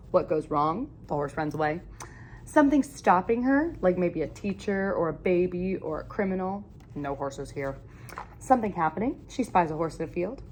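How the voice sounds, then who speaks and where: Lively woman reading a picture book aloud. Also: lowish voice, slight background noise. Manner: animated, deliberate storytelling with emphatic phrasing. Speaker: read-aloud storyteller. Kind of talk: expressive picture-book read-aloud for children.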